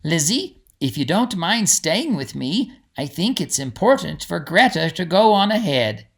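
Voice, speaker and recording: Man playing a storybook guide, male, higher-pitched, protective, careful, reassuring, formal. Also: big pitch swings, measured pace, creaky, fairly bright tone, exaggerated voice. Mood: gently directive. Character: calm male guide, protective, forcing a cheerful tone.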